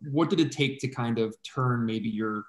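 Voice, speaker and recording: A man's voice: medium pitch, normal speed. Hesitant reporter man in an echoey room. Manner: hesitant, rephrases mid-question. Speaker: sports reporter. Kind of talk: press conference question.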